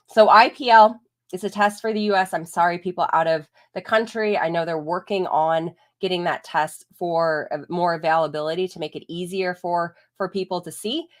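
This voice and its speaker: A woman's voice: on the low side, fairly even intonation, normal speed. A woman veterinarian giving a chatty live talk while congested from a chest cold, explaining things with lots of "like" and "right?". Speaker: holistic veterinarian. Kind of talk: live-streamed educational talk.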